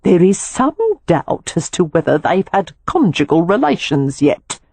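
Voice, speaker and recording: A woman's voice: lowish voice, sing-song, unhurried, vocal fry, darkish tone, breathy edge, exaggerated voice. A woman voicing a shrewd older housekeeper who speaks in a pointed, wry way.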